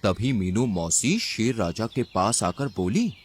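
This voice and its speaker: A man's voice: lowish voice, sing-song, measured pace, slightly rough. A man narrating a scripted children's story as the storyteller.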